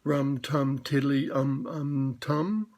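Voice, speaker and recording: A man voicing a slow, humming, food-loving bear, with a low, unhurried, sing-song manner. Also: animated.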